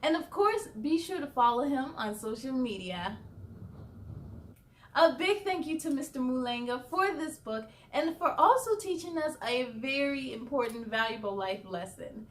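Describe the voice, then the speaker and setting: A woman hosting children's story time, reading aloud and talking to young listeners in a deliberate, clearly phrased way. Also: sits high, lively intonation, a little hiss or hum. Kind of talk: animated children's read-aloud narration.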